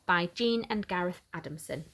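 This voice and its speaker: A woman reading a children's picture book aloud in an animated, expressive way for young listeners. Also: fairly low pitch, normal speed. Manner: animated, simple questioning aimed at small children. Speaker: children's story reader.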